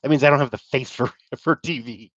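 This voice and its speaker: A man's voice: mid-range voice, a little fast, a bit bright, dry recording, muffled recording. A middle-aged man hosting a livestream, chatting casually and rambling as he answers viewer comments. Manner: rambling, self-deprecating, with fillers and laughs. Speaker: livestream host.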